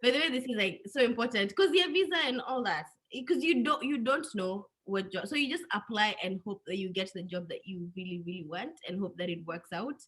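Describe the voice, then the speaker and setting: A Kenyan woman with a Kenyan accent chatting casually on a careers panel, animated and repeating phrases for emphasis. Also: mid-range voice, fairly quick, hardly any pauses.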